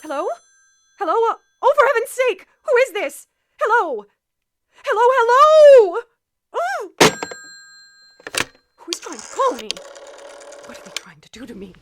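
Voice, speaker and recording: Very high-pitched, fretful invalid woman. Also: sing-song, heavy background noise, exaggerated voice. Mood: frantic, desperate. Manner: fretful, imperious, breathless halting phrasing. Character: nervous, demanding bedridden invalid woman, self-pitying and frantic. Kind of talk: 1940s radio thriller acting.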